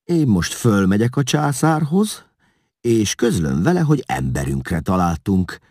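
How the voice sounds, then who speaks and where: A man's voice: lowish voice, big pitch swings, creaky, a little mellow, slightly rough, dry recording, studio quality, pitched above the performer's own voice, full character voice. A man voicing a self-assured, scheming imperial courtier who speaks formally and persuasively, in a storybook reading. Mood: eager, conspiratorial.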